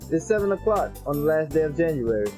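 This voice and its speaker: A man's voice: fairly high pitch, expressive, quick pace, a little mellow, soft background music. A man reading visual novel text aloud for a let's play, with casual slangy asides.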